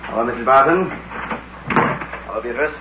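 An actor playing a blunt, sceptical but reassuring police investigator in an old radio drama. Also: male voice, medium pitch, moderate pace, lightly acted. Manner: matter-of-fact, sceptical. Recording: muffled, faintly noisy old radio recording.

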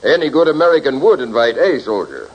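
Casting: courteous colonel, husky, expressive delivery, muffled old-time radio sound. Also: male voice, medium pitch, fairly quick. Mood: cordial, earnest. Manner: formal, earnest. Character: courteous, formal army colonel. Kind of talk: 1940s radio comedy acting. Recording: muffled 1940s radio recording.

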